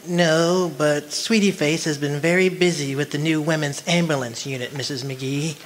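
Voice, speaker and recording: A man performs a mild, henpecked little man in a vintage radio comedy. His voice is a bit high, timid and prim, stretching his words. There is faint noise on the recording. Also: steady tone, lightly acted, rough recording. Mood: meek, chipper. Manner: timid, prim, drawn-out delivery. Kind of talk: old-time radio comedy acting.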